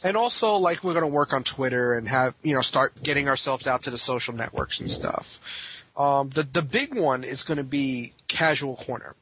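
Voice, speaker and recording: A man's voice: mid-range voice, normal speed, a bit bright, breathy edge, no room sound. A man speaking as a podcast guest in casual, informal, slangy chat. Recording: dull audio with faint noise.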